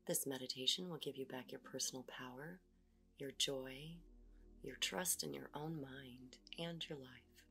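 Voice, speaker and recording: A woman leads a guided meditation in a hushed, whispery voice. Her pitch sits very low, yet her tone has a clear, bright edge. Her slow, soothing instructions drift over soft music playing faintly behind her.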